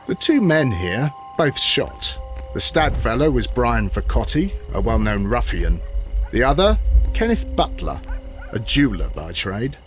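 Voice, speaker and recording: An actor playing a dutiful Victorian London police constable with an English accent, formal and deferential in a video game. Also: male voice, medium pitch, big pitch swings, a little slow, soft background music. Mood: earnest, assured. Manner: deferential, formal witness reporting. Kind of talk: scripted video game acting.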